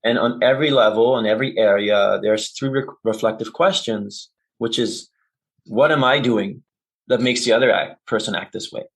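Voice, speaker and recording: Gravelly low-voiced man explaining patiently. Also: normal speed. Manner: thoughtful, explanatory, with frequent self-corrections. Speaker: intercultural communication consultant, guest. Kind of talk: conversational interview.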